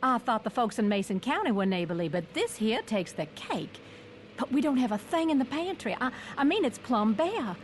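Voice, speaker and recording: A woman's voice: a little high, very animated, moderate pace, slight background noise. A woman playing a plain-spoken, fretful poor farm wife with a rural American accent in a radio drama. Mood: amazed, fretful. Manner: folksy, exclaiming.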